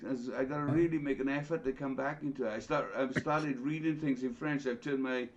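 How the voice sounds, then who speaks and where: A man's voice: mid-range voice, fairly even intonation, fairly quick, a little hiss or hum. A middle-aged man telling blunt, sweary anecdotes in a casual group chat. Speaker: guest telling his own story. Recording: dull lo-fi online call audio.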